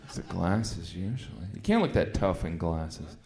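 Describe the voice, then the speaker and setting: Guy doing standup, dry self-mocking jokes, pitch jumps all over, roomy noisy club sound. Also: moderate pace, gravelly. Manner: deadpan, self-mocking jokes with acted-out quotes. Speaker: stand-up comedian. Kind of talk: stand-up routine. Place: comedy club stage. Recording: echoey, noisy club recording.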